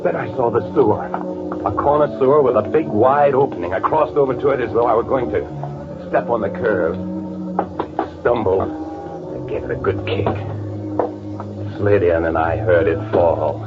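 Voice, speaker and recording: Actor playing a deep-voiced, guilty, nervous man, old radio. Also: natural voice. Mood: panicky. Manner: nervous, halting under pressure. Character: panicky, guilty man narrating his crime. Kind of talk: old-time radio drama acting. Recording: phone-like old radio recording with loud music.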